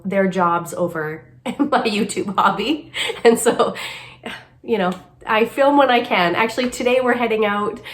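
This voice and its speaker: A middle-aged woman vlogging casually about her makeup at home, talking in a chatty, rambling way with a slightly nasal voice from a cold. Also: medium pitch. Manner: chatty, rambling, self-deprecating asides. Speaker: beauty vlogger. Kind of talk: casual chat to camera. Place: home, then moves upstairs to makeup desk.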